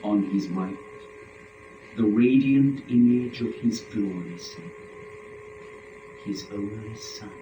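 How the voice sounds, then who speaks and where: A man giving a stately, measured dramatic recitation of classical epic verse. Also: fairly low pitch, dark tone, dull audio, a little hiss or hum. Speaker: dramatic reader of epic poetry. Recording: echoey room with music behind.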